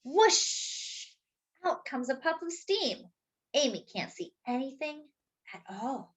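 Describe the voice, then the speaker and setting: A woman reading a picture book aloud to young children as a storytime host, expressively, with drawn-out words and little asides to her listeners. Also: high voice, sing-song, slightly rough.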